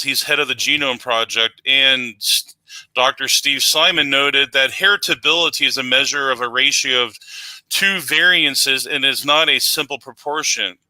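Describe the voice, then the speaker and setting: Man debater reading citations; very bright, crisp voice, dry close sound. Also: mid-range voice, dry recording, rough recording.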